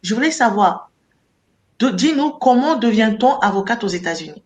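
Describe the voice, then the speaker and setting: A woman's voice: fairly high pitch, lively intonation, moderate pace. A woman hosting a web interview show, introducing her guest and asking questions, often repeating and restarting words. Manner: repeats and restarts words, warm religious phrasing. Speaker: host and founder of a web show. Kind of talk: interview host.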